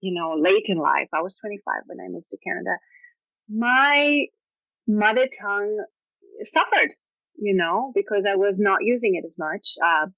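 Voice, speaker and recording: Guest author, woman, Israeli accent, native Hebrew speaker; lively storyteller, bright tone, dry close mic. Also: mid-range voice, normal speed, creaky, no room sound, rough recording. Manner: animated storytelling with frequent "you know" and laughs. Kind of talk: casual podcast interview.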